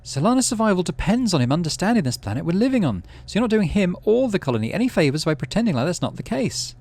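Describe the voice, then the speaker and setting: A man's voice: sits high, sing-song, fast, voice pushed up, exaggerated voice. A man reading a game's dialogue aloud and voicing a firm, outspoken mother in a raised voice. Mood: insistent. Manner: sharp, insistent.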